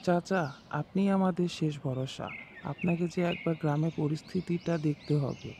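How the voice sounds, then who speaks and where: A worried village man, played by an actor talks earnestly in a cartoon, fairly high for a man. Clear outdoor noise and birdsong surround him. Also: moderate pace, warm tone. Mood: pleading.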